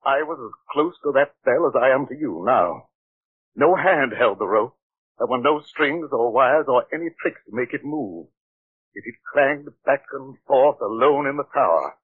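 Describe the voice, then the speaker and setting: A man's voice: mid-range voice, animated, normal speed, lightly acted. An actor playing a stern, formal older clergyman in an old radio drama, on a tinny recording. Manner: formal, grave and commanding. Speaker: father of the heroine. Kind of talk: 1940s radio acting.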